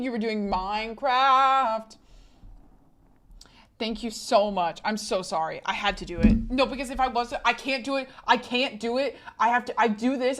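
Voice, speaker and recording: Fast-chatting woman streamer, apologetic asides. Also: on the high side. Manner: rapid repeated apologies and asides to chat. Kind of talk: casual live-stream chat.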